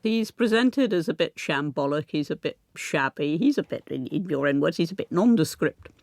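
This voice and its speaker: A woman's voice: deep voice, animated, moderate pace, vocal fry, a little mellow, no echo, clean studio sound. Articulate woman presenting radio. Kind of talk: scripted narration and conversational interviewing.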